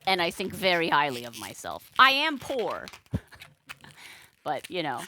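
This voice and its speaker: A woman live-streamer bantering as she works, with a bright, forceful voice. She takes her time, sounding playful and dryly self-mocking. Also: on the low side, wildly swooping pitch, slow pace, slight background noise. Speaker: balloon artist live-streamer.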